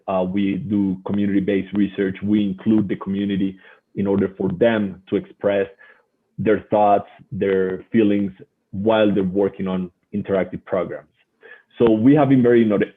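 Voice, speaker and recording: A man with a Colombian Spanish accent presenting as a guest researcher in a webinar, haltingly and often repeating words. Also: low voice. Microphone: online video call. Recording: muffled, phone-like webinar audio.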